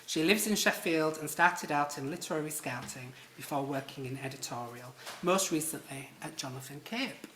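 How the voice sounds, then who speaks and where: Bright, chatty Yorkshire man on stage. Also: a little high, moderate pace, hardly any pauses, slight background noise. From Leeds, England. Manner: chatty, self-deprecating, many ums. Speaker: panel moderator. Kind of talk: live panel introductions. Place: theatre stage before an audience. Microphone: stage microphone. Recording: roomy theatre sound.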